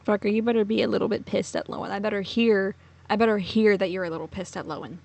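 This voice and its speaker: A woman's voice: mid-range voice, steady tone, quick pace, vocal fry, darkish tone. A woman giving casual let's play reaction commentary, blunt, profane and sardonic.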